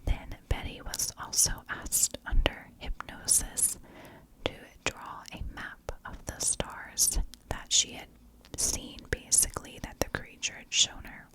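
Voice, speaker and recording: A woman narrates a story as an ASMR host, entirely in a whisper. Her delivery is very slow and deliberate, each phrase set down in a hushed, unvoiced breath. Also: low-quality recording. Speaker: ASMR true crime host.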